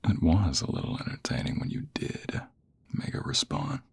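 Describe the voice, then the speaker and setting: A man playing a smug, seductive office rival in an intimate ASMR roleplay, with a husky, breathy half-whisper and slow, teasing, flirtatious delivery. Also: lowish voice, sing-song, exaggerated voice. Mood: teasing, amused.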